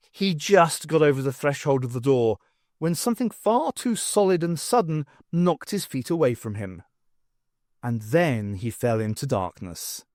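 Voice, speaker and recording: A man narrating a fiction audiobook in an expressive, wry storytelling manner. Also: on the high side, dry recording, studio quality. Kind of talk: dramatic scripted narration of fiction.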